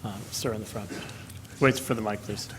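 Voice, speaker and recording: A man's voice: on the low side, fairly even intonation, moderate pace, background noise. A man moderating a panel, briefly directing the audience and handing questions to speakers.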